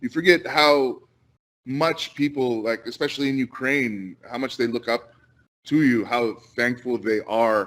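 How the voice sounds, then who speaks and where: An American man speaks as an invited guest on a panel. His voice sits at an ordinary middle pitch, and he talks earnestly and without a script, often halting between phrases. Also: a bit bright, low-quality recording. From United States. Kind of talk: earnest, unscripted panel talk.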